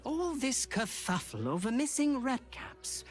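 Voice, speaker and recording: An actress playing a formal, reassuring announcer in a video game. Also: female voice, a little high, sing-song, unhurried, soft background music. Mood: dismissive.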